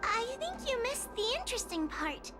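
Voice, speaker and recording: A woman's voice: very high-pitched, big pitch swings, normal speed, music in the background. A woman voicing a timid but earnest young girl in a video game, speaking hesitantly and politely. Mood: earnest, cautious.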